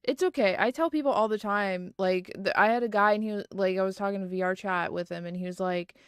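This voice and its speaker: A woman's voice: mid-range voice, fast talker, smooth, no echo, clean studio sound. A woman livestreaming from home, chatting casually and rambling with lots of fillers and restarts. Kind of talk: casual chat.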